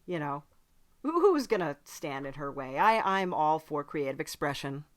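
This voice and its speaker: Lower-pitched woman, dry playful podcast host. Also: very animated, normal speed. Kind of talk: casual chat.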